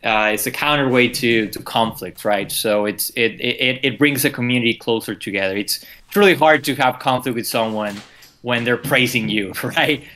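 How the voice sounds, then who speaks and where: A man's voice: medium pitch, moderate pace, pushed and bright. A man talking casually on a community video call, full of restarts and fillers. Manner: hesitant, many restarts. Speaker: community member doing onboarding.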